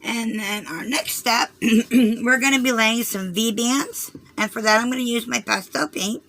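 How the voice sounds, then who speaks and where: A hoarse woman with a Southern US accent, rambling through a craft tutorial and often clearing her throat as she fights a cold. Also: fairly high pitch, fairly even intonation, a little slow, a bit bright, a little hiss or hum, rough recording. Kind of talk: chatty loom tutorial.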